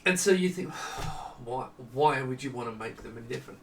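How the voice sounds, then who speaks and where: A middle-aged man giving a casual, unscripted product walkthrough in a halting, conversational manner. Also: medium pitch, animated, vocal fry. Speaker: product designer presenting his monitors. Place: small home office indoors with studio lighting. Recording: echoey room, distant mic, faint noise.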